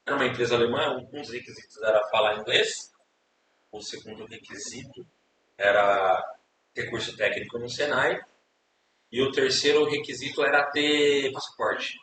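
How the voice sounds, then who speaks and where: A man's voice: medium pitch, moderate pace, pushed and bright. Brazilian man, distant and echoey. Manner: rambling, repeats words, many tag questions. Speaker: podcast guest. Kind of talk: casual storytelling interview. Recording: echoey room, distant mic, hiss.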